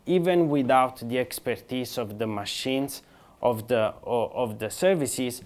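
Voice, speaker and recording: A middle-aged man from Italy with an Italian accent, giving a conversational business conference presentation in English, often restarting and filling pauses. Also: mid-range voice. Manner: conversational, halting, with frequent restarts and fillers. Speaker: conference speaker.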